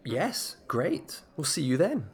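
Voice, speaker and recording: An actor playing a polite, eager newcomer to a village in an audio drama, hesitant and apologetic. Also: male voice, on the high side, huge pitch swings, takes it slowly, breathy edge, heavily acted. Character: eager, awkward, polite newcomer husband from London. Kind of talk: naturalistic audio drama acting.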